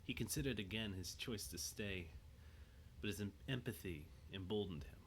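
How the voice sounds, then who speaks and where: A man's voice: fairly low pitch, normal speed, a little hiss or hum. A man reading scripted, dramatic narration for an audio drama.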